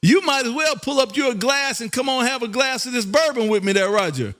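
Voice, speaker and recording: High-pitched, loud showman ham radio host. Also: expressive, a little fast. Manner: loud, showy, repetitive, shouts and half-sings call signs. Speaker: amateur radio operator and live stream host. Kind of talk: excited on-air ham radio chat. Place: radio room.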